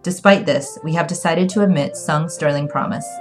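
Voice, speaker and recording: A woman's voice: low voice, moderate pace, soft background music, close to an everyday voice. A woman voicing a formal, unyielding male immigration official speaking through a translator in an audiobook. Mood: stern, official.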